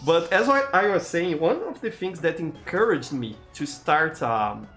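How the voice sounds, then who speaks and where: A man's voice: fairly high pitch, expressive, a bit bright. Brazilian guy streaming a game, bouncy up and down voice, stumbles over words, music going behind. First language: Portuguese. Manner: halting, often repeats words while searching for them. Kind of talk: casual gameplay commentary, answering chat.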